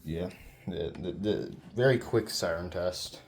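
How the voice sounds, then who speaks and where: Low, slow-talking man over noise. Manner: halting, muttering to himself. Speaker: hobbyist demonstrating weather radios. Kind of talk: casual unscripted demo. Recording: clear background noise with clicks.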